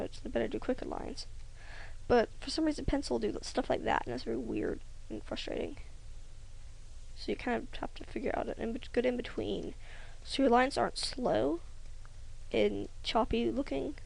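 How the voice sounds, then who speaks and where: A young woman rambling hesitantly over a drawing tutorial, often trailing off into soft near-whispers. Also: a little high, creaky, husky, poor audio. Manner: rambling, hesitant, self-conscious, many ums. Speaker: hobby animator.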